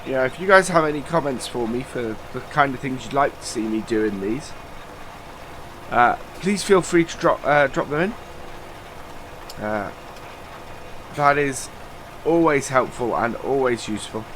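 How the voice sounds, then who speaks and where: A man's voice: mid-range voice, expressive, normal speed, fairly bright tone, slight background noise, low-quality recording. A man with a nasal, stuffed-up voice from a cold, rambling through casual let's-play commentary with lots of fillers. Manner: chatty, rambling, many uh fillers. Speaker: gaming channel host.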